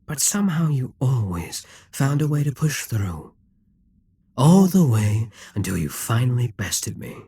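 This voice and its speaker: A man playing a husky, slow-spoken demon king. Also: medium pitch, sing-song, dark tone, dry recording, heavily acted. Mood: hypnotic. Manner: slow, commanding, coaxing, drops to whispers. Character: possessive scheming male demon king, calm and ruthless. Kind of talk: M4A audio roleplay.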